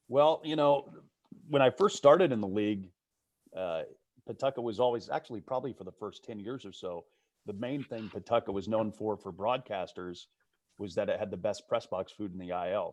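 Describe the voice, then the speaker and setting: A man's voice: fairly low pitch, darkish tone, dry recording. A man who works as a baseball broadcaster, chatting casually as a guest on a video call. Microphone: video call microphone.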